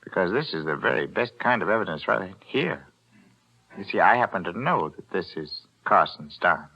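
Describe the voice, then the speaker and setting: Grasping American pawnbroker, low gravelly voice, swooping sly delivery, 1940s radio thriller. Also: male voice, lively intonation, pushed and bright, exaggerated voice. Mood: smugly threatening. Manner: drawn-out, hemming, slyly reasonable. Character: shrewd, grasping male pawnbroker and fence. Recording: muffled old 1940s radio recording.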